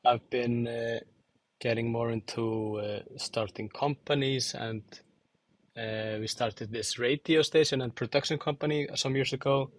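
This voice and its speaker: A young man from Iceland and Angola answering interview questions thoughtfully, with many fillers and pauses. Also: mid-range voice, fairly even intonation, dry recording. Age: young adult. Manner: hesitant, many fillers and restarts. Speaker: podcast guest, musician and designer.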